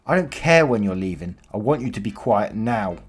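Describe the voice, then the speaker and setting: A man's voice: fairly low pitch, very animated, normal speed, husky, faint music behind. A man voicing a pushy, passive-aggressive mother who makes curt demands. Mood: demanding.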